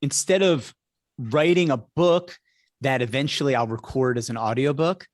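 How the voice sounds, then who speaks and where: Male podcast host, mid-pitched and conversational, a practised speaker, recorded dry with little room sound. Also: moderate pace, no echo.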